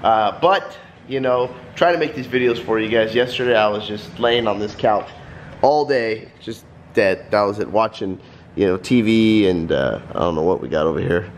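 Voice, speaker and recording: A man's voice: fairly low pitch, moderate pace, slight background noise. A man in his thirties with a cold, chatting casually to viewers while filming himself in his home garage. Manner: rambling, chatty, addresses viewers as guys. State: stuffed up from a cold. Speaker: vlogger. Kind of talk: casual vlog talk. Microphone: handheld vlog camera.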